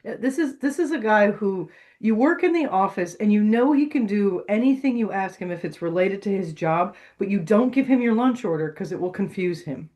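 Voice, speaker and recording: A woman's voice: medium pitch, animated, moderate pace, hardly any pauses, mellow. A woman co-hosting a podcast, giving wry, casual commentary in conversation. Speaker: podcast co-host.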